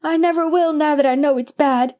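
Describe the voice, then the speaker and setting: A woman's voice: a little high, expressive, normal speed, a little mellow, voice pushed up, lightly acted. A woman doing a mischievous little boy. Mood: sobbing remorse. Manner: childish, pleading, colloquial. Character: mischievous six-year-old boy, blunt and earnest.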